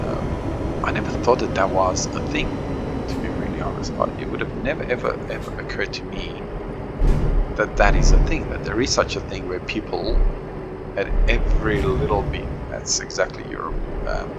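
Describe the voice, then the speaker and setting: A man's voice: deep voice, moderate pace, gravelly. A man from Romania giving rambling, frank, casual commentary over gameplay on a desk microphone, full of fillers and restarts. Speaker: gaming channel host. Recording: muffled lo-fi with loud truck game noise.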